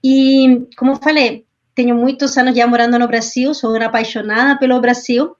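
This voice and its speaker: A woman's voice: fairly high pitch, level tone, fairly quick, darkish tone, muffled recording. Chilean woman speaking Portuguese, hesitant. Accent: Chilean Spanish. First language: Spanish. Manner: hesitant, frequent fillers and restarts. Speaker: panelist, marketing director. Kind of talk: panel talk.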